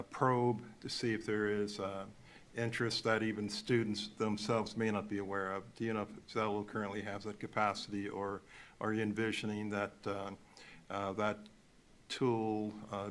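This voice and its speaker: A man in his fifties, a board member, asking a question in a halting way with many fillers, on a desk mic. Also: lowish voice, a little hiss or hum. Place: meeting room. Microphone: desk gooseneck mic.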